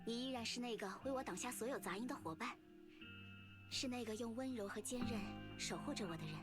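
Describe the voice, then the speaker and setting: A woman voicing an earnest young woman in an anime game cutscene, with breathy, emotional, dramatic delivery. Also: on the high side, quick pace, over music, lightly acted. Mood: tender, resolute. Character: earnest, dramatic young women, a singer and her bodyguard.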